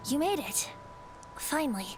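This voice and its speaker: A woman's voice: sits high, big pitch swings, unhurried. A woman voicing a playful, wistful young male bard who is a wind spirit in a video game, speaking in a lilting, theatrical way. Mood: playful, cheerful. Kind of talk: scripted video game dialogue. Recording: music behind the voice.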